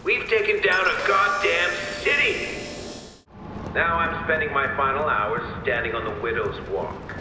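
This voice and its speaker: Actor as echoing, fatalistic criminal mastermind. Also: male voice, a little high, lightly acted. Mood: bitter musing. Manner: musing, theatrical, unhurried. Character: reflective, fatalistic male criminal mastermind, bitter and theatrical. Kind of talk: dramatic game monologue. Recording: very echoey, noisy rainy ambience.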